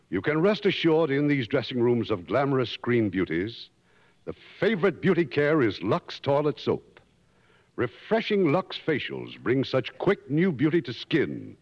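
A man's voice: medium pitch, wildly swooping pitch, normal speed. A man hosting a 1950s radio show, presenting a script in a formal announcer style. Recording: muffled old radio broadcast in reverberant hall.

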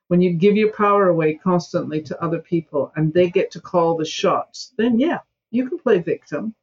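A woman's voice: lowish voice, dull audio. A woman in her seventies from Scotland, a guest in a podcast interview, speaking emphatically and sometimes mimicking whiny excuses. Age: 70s.

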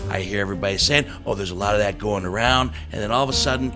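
A man's voice: fairly low pitch, very fast, over music. A man with a head cold hosting a casual podcast, talking in a wry, emphatic, conversational way. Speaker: podcast host. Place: recorded in Columbus, Ohio.

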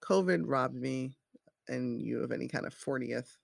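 A woman in her forties, a parent who works in scrubs, chatting casually in halting speech with many restarts. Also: extremely low pitch, vocal fry, breathy edge, no room sound, studio quality. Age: 40s. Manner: halting, restarts often, says "like" and "you know". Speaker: healthcare worker and parent. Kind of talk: casual chat.

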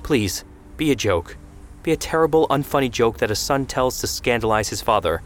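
Man reading as a low-voiced, worried father. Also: animated, normal speed, slight background noise. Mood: desperate. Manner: earnest, pleading. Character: worried, tender father, hesitant and pleading.

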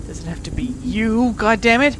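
A woman's voice: a little high, moderate pace, lightly acted. An actress playing an irritated, sarcastic woman who drives a rover alone and mutters and swears to herself, in naturalistic audio drama. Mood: resentful. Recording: clear vehicle noise, rover cabin ambience.

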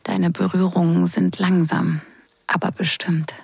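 A woman acting in an intimate audio drama as a teasing wedding guest who is drawn in, breathy and hushed. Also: on the low side, little pitch movement, no room sound, heavily acted. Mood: sensual, breathy. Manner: breathy, hushed, slow and sensual delivery.

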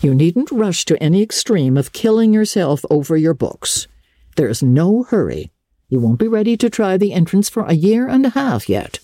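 A woman puts on a practical, gruffly caring older woman from a classic novel. The delivery is no-nonsense and brisk, low in pitch with a husky edge. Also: sing-song, dark tone, no room sound, clean studio sound. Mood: measured, cautioning. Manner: brisk, matter-of-fact, no-nonsense. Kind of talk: dramatic audiobook reading of a classic novel.

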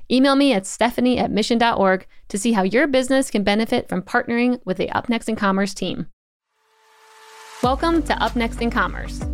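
A woman hosting a business interview podcast, reading her intro and ad in an upbeat, polished way on a close studio mic. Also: on the high side. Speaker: podcast host.

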